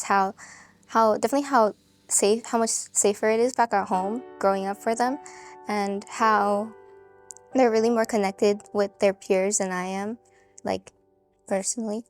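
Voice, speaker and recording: A young woman answering hesitantly in a documentary interview, with lots of "um" and "like". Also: mid-range voice.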